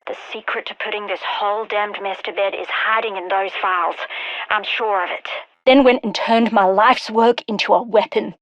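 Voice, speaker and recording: A woman voicing a sharp-witted, driven scientist in a video game, with a wry, intense, articulate delivery. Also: medium pitch, steady tone, normal speed, highs cut off, natural voice. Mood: grave, determined, then bitter. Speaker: game character voice lines. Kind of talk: scripted video game voice acting.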